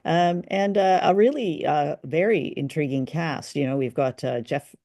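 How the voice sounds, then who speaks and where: A woman's voice: low-pitched, moderate pace, warm tone, no echo, studio quality. A woman co-hosting a podcast, talking casually and conversationally about old radio drama. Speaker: podcast co-host.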